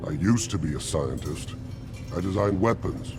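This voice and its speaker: An actor playing an arrogant, grumbling alien warrior scientist in a video game, obsessed with weapons. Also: male voice, deep voice, expressive, normal speed, music in the background. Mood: proud, irritated. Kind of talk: video game character acting.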